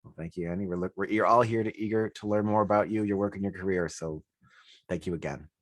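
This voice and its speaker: A man's voice: low voice, steady tone, fast, dry recording. A man hosting a virtual presentation, giving easygoing, friendly instructions to his guest on a video call. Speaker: gallery host.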